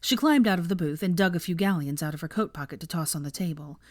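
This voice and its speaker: A woman reading scripted fiction as an audiobook narrator, with expressive, dramatic storytelling. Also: fairly low pitch, quick pace, dry recording.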